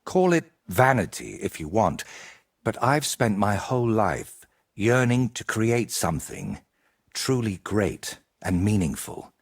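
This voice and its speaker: Animated, ambitious medieval painter man. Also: fairly low pitch, measured pace, vocal fry, slightly rough, heavily acted. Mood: earnest, yearning. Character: ambitious, vain male medieval painter, eloquent dreamer. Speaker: painter character. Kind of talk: video game cutscene dialogue.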